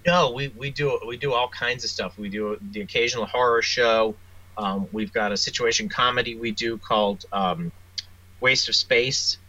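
Bright-voiced man in a casual interview. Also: medium pitch, fairly even intonation, normal speed, highs cut off. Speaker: interview guest, author and audio drama producer.

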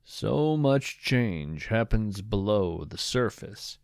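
Guy doing poetic podcast narration, slow and thoughtful, dry close sound, savors words. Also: lowish voice, expressive, gravelly, clean tone, no echo. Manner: musing, deliberate, savoring words. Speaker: host and narrator of a storytelling podcast. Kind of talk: scripted, reflective, poetic narration.

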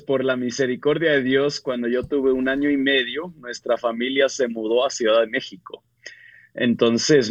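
A man from the United States with a Mexican Spanish accent, telling his story as an interview guest in a relaxed way with frequent restarts. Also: medium pitch, no room sound, muffled recording. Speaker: pastor and author guest. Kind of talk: casual testimony interview.

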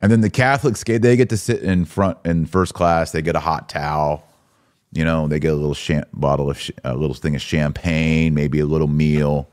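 A man with a deep voice hosts in a close, dry recording. He talks informally about religion, posing rhetorical questions and repeating short phrases to drive a point home. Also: steady tone, fairly quick, a little mellow, no echo. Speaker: podcast host. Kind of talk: conversational religious commentary.

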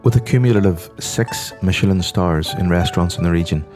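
An Irish man hosting a podcast, reading scripted narration in a measured, informative way. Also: deep voice, a little mellow. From Ireland. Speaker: podcast host. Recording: music playing behind the voice. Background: guitar music.

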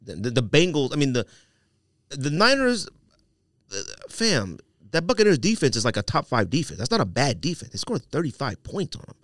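A man's voice: medium pitch, wildly swooping pitch, moderate pace, a bit bright, dry recording, studio quality. A man co-hosting a sports podcast, talking casually in slangy, opinionated banter.

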